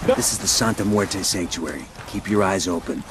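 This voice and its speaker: An actor playing a cool special forces squad leader in a game, terse, dry and matter-of-fact. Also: male voice, deep voice, normal speed, noisy background, natural voice. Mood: alert. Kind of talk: video game military dialogue. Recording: loud music behind the voice.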